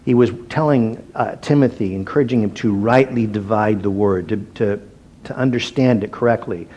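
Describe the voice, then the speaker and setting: Relaxed man teaching a Bible study. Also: lowish voice, highs cut off. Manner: relaxed and self-deprecating, with frequent fillers and restarts; slows down and stresses each word when reading scripture aloud.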